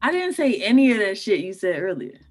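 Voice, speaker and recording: An actress playing the groom's blunt, unimpressed, party-loving sister in a comedy table read. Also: female voice, mid-range voice, big pitch swings, brisk. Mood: irked, restless. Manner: blunt, sassy, casual.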